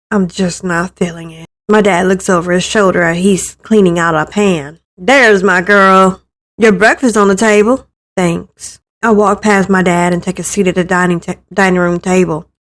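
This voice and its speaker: A woman with a medium-pitched voice reads a story aloud in the first person. Her delivery is conversational and expressive, and she acts out the lines as she goes. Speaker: visual novel player reading aloud.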